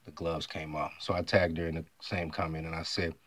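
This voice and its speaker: Brief: American male vlogger, very low voice, emphatic, clears his throat a lot, dry close recording. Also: level tone, a little fast, fairly bright tone, no echo. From United States. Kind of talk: monologue retelling an online argument.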